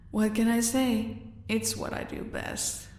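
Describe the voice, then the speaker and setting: A woman's voice: on the high side, little pitch movement, slow pace, a little mellow. Woman acting, smug sadistic female enforcer, languid, distant from mic, roomy echo, audio roleplay. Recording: echoey room, far from mic.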